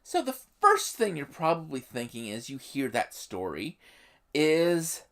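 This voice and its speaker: A YouTube host giving explanatory commentary on a game setting, speaking emphatically and haltingly, with pauses. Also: medium pitch, huge pitch swings, a bit bright.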